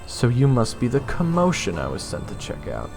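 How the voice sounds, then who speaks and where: A man's voice: medium pitch, wildly swooping pitch, normal speed, gravelly, a little mellow. A man playing a nervous young swordsman, narrating a fantasy encounter in the first person with earnest, dramatic delivery. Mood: wary. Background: fantasy game-style music.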